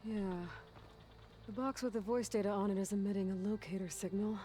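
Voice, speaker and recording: Woman acting a mellow, matter-of-fact wanderer. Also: mid-range voice, steady tone, brisk, lightly acted. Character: capable, inquisitive young female wanderer and tech-savvy outlander. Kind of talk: video game acting.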